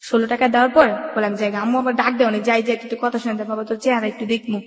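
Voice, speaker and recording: A woman's voice: on the high side, little pitch movement, very fast. A young woman with a colloquial Bangladeshi accent telling a personal story as a radio guest, in run-on everyday speech, recorded with an echoey reverb. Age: young adult. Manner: colloquial, run-on recounting with quoted dialogue. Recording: echoey room with long reverb.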